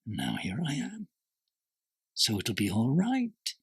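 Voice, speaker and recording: A man acting a troubled, doubting disciple in a dramatised ancient religious text, speaking intensely and deliberately in short, broken phrases. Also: mid-range voice, wildly swooping pitch, gravelly, dry recording, clean studio sound, exaggerated voice. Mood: bitter, torn.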